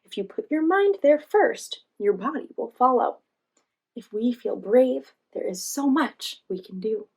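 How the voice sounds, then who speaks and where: A woman's voice: fairly high pitch, animated, measured pace, darkish tone, slightly rough, voice pushed up, heavily acted, low-quality recording. A woman voicing a cheerful, helpful animal friend in a children's storybook, speaking reassuringly.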